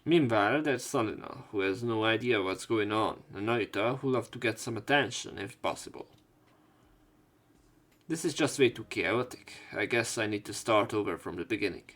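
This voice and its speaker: A man's voice: fairly low pitch, normal speed, a bit bright. A man hosting a let's play, reading game text aloud and adding casual, joking commentary.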